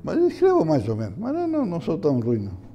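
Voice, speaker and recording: An older man answering interview questions in a halting way, often repeating his words and chuckling. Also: fairly high pitch, huge pitch swings, muffled recording. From Brazil. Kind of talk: reminiscing interview talk. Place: indoor room beside an altar.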